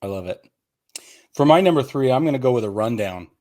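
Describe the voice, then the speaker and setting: A man's voice: medium pitch, animated, brisk, a little mellow. A man co-hosting a sports podcast, talking casually and with enthusiasm. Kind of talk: casual baseball chat.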